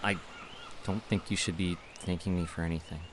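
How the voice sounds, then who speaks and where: A man's voice: low-pitched, fairly even intonation, brisk, slight background noise, natural voice. An actor playing a guilty, apologetic young man in an audio drama, pleading and hesitant. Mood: guilty, subdued.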